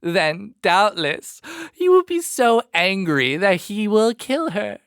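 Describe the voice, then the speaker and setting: A man's voice: high voice, sing-song, takes it slowly, a bit bright, smooth, no echo, clean studio sound, voice pushed up, exaggerated voice. A man voicing a jealous, scheming woman in a storybook, with a conspiratorial manner. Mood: scheming, spiteful. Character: two jealous, scheming sisters of Beauty. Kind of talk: audio storybook character acting.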